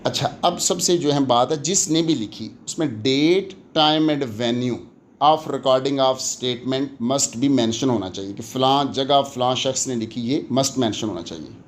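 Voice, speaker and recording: A man with a Pakistani accent lecturing in an explanatory way, deliberate and emphatic, switching between languages as he teaches. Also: medium pitch, slight background noise. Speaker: law lecturer.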